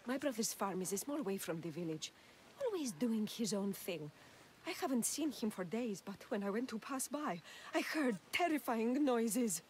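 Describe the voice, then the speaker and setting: A woman's voice: a little high, lively intonation, normal speed, darkish tone, natural voice. An actress playing a timid, worried village woman in a video game, effusive and deferential in her manner. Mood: worried, hesitant. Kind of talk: video game cutscene acting. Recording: clear ambient noise behind.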